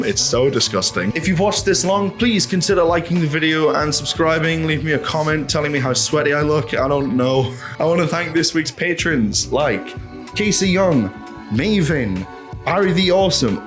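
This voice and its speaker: Man, fairly high voice, video essay host, animated, opinionated, sweary, rhetorical questions, music underneath.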